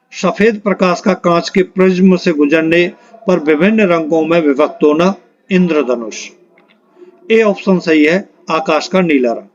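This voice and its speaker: High-pitched male quiz teacher, dull recording. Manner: instructional, reads out options then announces the answer. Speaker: exam-prep physics teacher. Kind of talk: quiz lesson, reading questions and options aloud.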